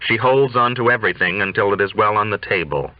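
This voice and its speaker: A man reading literary fiction aloud as an audiobook narrator, in a measured, deliberate way. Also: fairly low pitch, no room sound. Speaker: audiobook reader. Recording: dull, dry, lo-fi audio.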